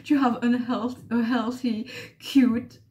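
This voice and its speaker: A woman's voice: fairly high pitch, steady tone, slow, mellow. Gushing young woman reacting on webcam. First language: not English. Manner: gushing, squealing, swearing. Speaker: trailer reactor. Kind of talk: excited reaction commentary. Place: living room with bookshelves. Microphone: webcam at desk, headphones on.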